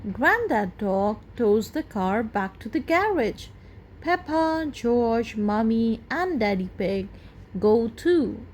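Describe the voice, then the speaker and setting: A woman reading a children's storybook aloud as the storyteller, with expressive, deliberate phrasing. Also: on the high side, a little hiss or hum.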